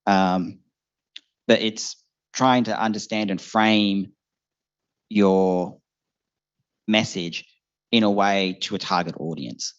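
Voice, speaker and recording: A man's voice: fairly low pitch, steady tone, slow pace, pauses often, smooth. A young man in his twenties answering on a discussion panel, thinking aloud with fillers and restarts. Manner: thoughtful, many fillers and restarts. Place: seminar hall before an audience. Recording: muffled lo-fi event recording.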